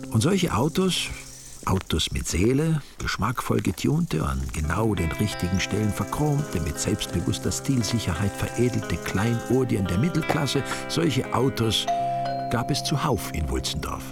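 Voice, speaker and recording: A low-voiced male narrator of a village crime story. He tells it in a wry, dry, literary way, with music clearly behind him. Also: big pitch swings, measured pace, heavily acted. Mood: wry, measured. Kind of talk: scripted radio drama narration.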